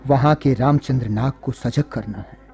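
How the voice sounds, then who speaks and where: A man playing a grave, resolute noble statesman, speaking with measured solemnity in a radio drama. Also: mid-range voice, darkish tone, breathy edge, soft background music. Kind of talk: Hindi radio drama acting.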